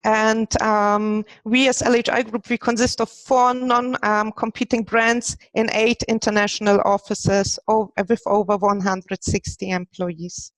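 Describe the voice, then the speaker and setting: A woman's voice: medium pitch, little pitch movement, unhurried, hardly any pauses, no echo, poor audio. A woman in her thirties from Germany, answering conversationally as a recruiter guest on a podcast. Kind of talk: conversational interview. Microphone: headset on a video call.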